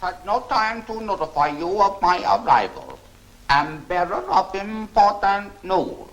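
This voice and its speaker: Slow, high-voiced sly informant. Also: male voice, wildly swooping pitch, fairly bright tone, highs cut off, exaggerated voice. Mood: humble, sly. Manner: broken, stilted, formal phrasing. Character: sly Chinese shopkeeper informant, humbly ingratiating adult male. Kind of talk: 1940s film serial acting. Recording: old 1940s film soundtrack.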